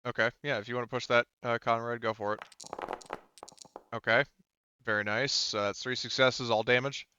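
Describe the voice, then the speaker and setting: A man's voice: medium pitch, flat delivery, normal speed, pushed and bright, smooth, dry recording, highs cut off. A man working as a tabletop game master, explaining rules and narrating combat in rambling, broken-off phrases peppered with filler words. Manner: rambling, talks in broken-off phrases with frequent 'like' and 'you know'.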